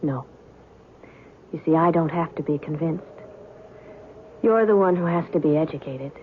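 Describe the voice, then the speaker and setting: A woman's voice: sits low, moderate pace, natural voice. An actress playing a mysterious, aloof woman with a cool, curt, knowing manner in an old-time radio drama. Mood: curt, dismissive. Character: mysterious, aloof stranger calling herself a reporter, coolly knowing. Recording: tinny, band-limited old radio recording, faint noise.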